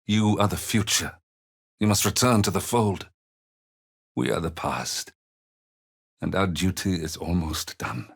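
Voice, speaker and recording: A man playing a gentle, weary older father in a fantasy video game, speaking in measured, earnest, formal phrases. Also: sits low, gravelly, husky, heavily acted. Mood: tender, reassuring. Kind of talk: dramatic fantasy game dialogue.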